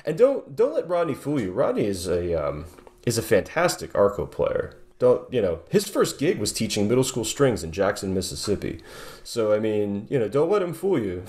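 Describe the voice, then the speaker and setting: A man in his forties from southeastern New York, a bass player as a guest, talking thoughtfully over a video call from a home room, with many restarts. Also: darkish tone. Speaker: guest bassist. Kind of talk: casual interview. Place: home room with guitars on wall.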